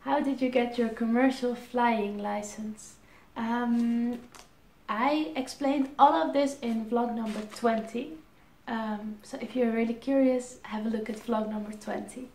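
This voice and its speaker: A woman's voice: on the high side, fairly even intonation, measured pace, darkish tone, slight background noise. Young Dutch woman, echoey room. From Netherlands. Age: 20s. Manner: reads questions aloud, answers with ums and restarts. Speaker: airline pilot vlogger. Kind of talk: casual Q&A vlog.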